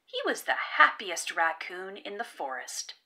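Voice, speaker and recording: Slow, very animated woman storyteller. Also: medium pitch. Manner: expressive, careful storytelling. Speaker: read-aloud storyteller. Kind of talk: children's picture book narration.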